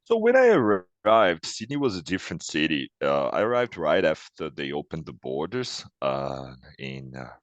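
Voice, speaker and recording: Very deep-voiced Brazilian man. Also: sing-song, normal speed, hardly any pauses, no room sound. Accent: Brazilian Portuguese. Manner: thoughtful, frequent restarts and soft laughs. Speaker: international student, interviewee. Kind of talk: casual interview answers.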